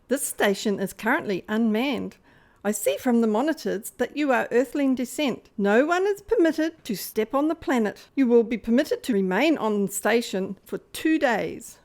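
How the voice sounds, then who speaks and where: Lilting, high-voiced female space station greeter, acted by a woman. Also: lively intonation, normal speed, darkish tone. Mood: polite, formal. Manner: formal, announcing. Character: young female virtual greeter of a space station, polite and formal. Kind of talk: amateur radio play acting.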